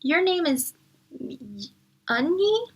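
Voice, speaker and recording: A woman's voice: very high-pitched, animated, takes it slowly, recorded from a distance, lo-fi sound, pitched above the performer's own voice, heavily acted. A woman voicing an earnest, friendly young schoolboy in a storybook, eager and a little sheepish.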